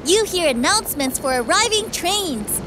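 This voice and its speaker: A woman's voice: very high-pitched, very animated, moderate pace, noisy background. A woman voicing an upbeat young boy passenger train in a cartoon. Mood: cheerful. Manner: bright, upbeat. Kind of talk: cartoon voice acting.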